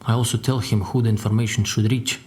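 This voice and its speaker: An actor playing a calm, vengeful prison informant in an audio drama, measured and matter-of-fact. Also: male voice, lowish voice, lightly acted. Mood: coolly confiding.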